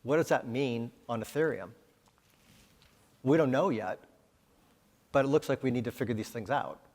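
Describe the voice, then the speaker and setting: A man's voice: mid-range voice, normal speed, a little mellow. A man moderating a conference panel, talking conversationally and posing rhetorical questions. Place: conference hall. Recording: hall reverb.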